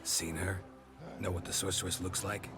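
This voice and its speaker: A man playing a stoic, weary monster hunter with a low gravelly voice and terse, deadpan delivery in a fantasy game. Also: moderate pace, over music, natural voice. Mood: dry, guarded. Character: stoic, weary monster-hunting drifter, dry and guarded. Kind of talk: cinematic game dialogue.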